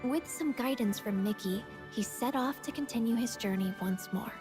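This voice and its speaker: A woman with a fairly high voice narrates a scripted game story recap. Her delivery is measured and solemn, and music plays clearly behind her.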